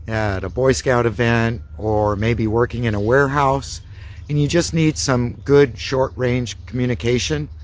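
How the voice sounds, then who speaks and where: A man presenting a radio test, speaking in deliberate, repeated test phrases. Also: medium pitch. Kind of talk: radio check test announcements, demonstration.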